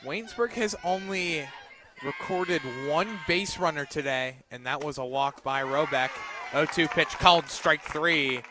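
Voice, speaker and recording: A man doing live radio play-by-play commentary of a softball game from outdoors at the field. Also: fairly high pitch, measured pace, background noise. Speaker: play-by-play sports announcer.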